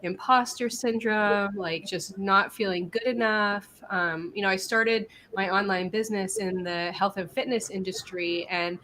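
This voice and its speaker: A woman's voice: mid-range voice, steady tone, unhurried, few pauses, pushed and bright. A woman, slightly scratchy from a cold, chatting as an interview guest with laughs and restarts. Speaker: guest, business coach. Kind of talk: casual live-stream interview.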